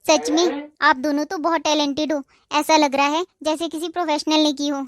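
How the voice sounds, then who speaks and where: Woman doing a sweet polite young bride, high soft voice, dry studio sound. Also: level tone, normal speed, no room sound, natural voice. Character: gentle young newlywed daughter-in-law. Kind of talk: Hindi cartoon dubbing.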